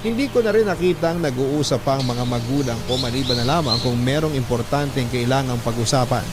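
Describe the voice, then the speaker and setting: A man's voice: medium pitch, natural voice. A man playing a troubled young working son who earnestly recounts his family's story in first person, with a Filipino accent. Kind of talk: first-person dramatized narration.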